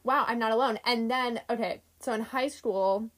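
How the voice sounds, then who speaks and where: A woman in her twenties talking casually on a podcast, chatty and animated, with lots of fillers and laughter. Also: a little high, moderate pace. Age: 20s. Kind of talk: casual chat.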